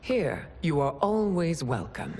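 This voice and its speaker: An actress playing a regal, stern widowed empress in a video game cutscene, speaking formally and imperiously. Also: female voice, low-pitched, big pitch swings, a little slow, darkish tone, full character voice. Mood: stately, gracious.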